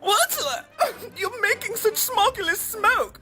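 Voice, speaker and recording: A woman reading a storybook aloud, voicing a small, fussy male forest creature who scolds in a high, squeaky voice. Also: expressive, takes it slowly, bright, forceful tone, heavily acted. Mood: scolding.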